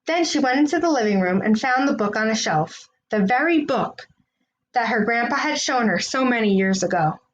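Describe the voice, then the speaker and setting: Higher-voiced woman reading a children's story. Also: animated, moderate pace, lo-fi sound, a little hiss or hum. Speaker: storybook reader. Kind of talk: children's story read-aloud.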